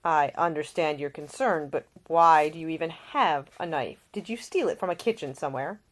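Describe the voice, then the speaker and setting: Weary, gentle gentleman as read by a woman. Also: sits low, moderate pace, natural voice. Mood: suspicious.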